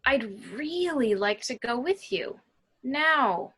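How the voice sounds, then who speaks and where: Slightly husky, insistent woman doctor acted out. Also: sits high, expressive, slow pace, fairly bright tone, heavily acted. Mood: guarded, insistent. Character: tense, insistent female morgue doctor, exasperated. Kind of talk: amateur table read of a film script.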